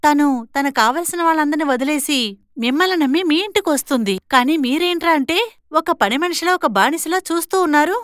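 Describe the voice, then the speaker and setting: A woman plays a bold young sister in a cartoon moral tale. Her voice is high and dry, with no room around it. She talks back firmly and scolds like someone who will not be pushed around. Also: fast talker, fairly bright tone, dry recording. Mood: defiant, indignant. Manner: assertive, scolding. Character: bold, defiant young twin sister standing up to her in-laws. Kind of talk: moral story cartoon dialogue.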